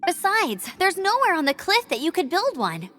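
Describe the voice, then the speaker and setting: A woman voicing an eager, proud young female scout in a game, energetic and quick to scold. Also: extremely high pitch, full character voice. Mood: determined.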